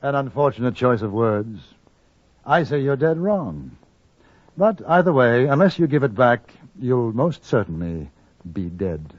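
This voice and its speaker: An actor playing a cool gentleman adventurer in a radio western, dry, formal and understated. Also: mid-range voice, sing-song, unhurried, no room sound. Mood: cool, menacing. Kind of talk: 1950s radio western narration and acting. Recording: dull vintage radio recording, music behind.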